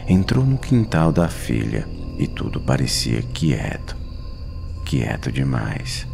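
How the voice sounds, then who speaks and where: A Brazilian man narrating a scripted horror story in a dramatic, suspenseful manner with deliberate pauses. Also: extremely low pitch, sing-song. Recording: narration over clear background music. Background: music.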